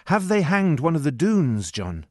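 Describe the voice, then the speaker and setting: A man's voice: fairly high pitch, expressive, takes it slowly, fairly bright tone, no room sound, studio quality, voice pushed up. A man voicing an anxious twelve-year-old schoolboy in storybook dialogue, earnest and questioning. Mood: fearful. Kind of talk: acted audiobook dialogue.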